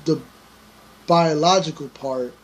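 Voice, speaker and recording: A man's voice: on the high side, slow, a little hiss or hum, rough recording. A young man co-hosting a podcast, chatting casually with many fillers and treading carefully as he reacts to a video. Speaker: podcast co-host.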